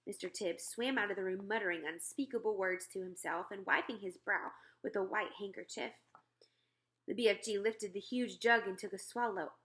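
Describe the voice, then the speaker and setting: A woman narrating a children's storybook aloud in an expressive read-aloud style. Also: mid-range voice, moderate pace. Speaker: storybook reader.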